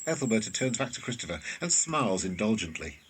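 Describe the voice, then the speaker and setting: A man's voice: fairly low pitch, sing-song, quick pace. A man reading scripted narration for an audio drama. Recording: faint ambient background noise.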